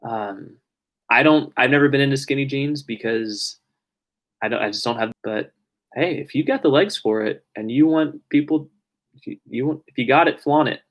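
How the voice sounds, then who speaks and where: A young man streaming live, talking casually and at length with his audience. He often restarts his sentences and lets them trail off quietly. After an hour of talking, his mid-pitched voice has turned a little raspy. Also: normal speed. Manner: rambling, self-correcting, trailing off quietly. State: voice getting raspy from long talking. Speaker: live streamer answering viewer questions.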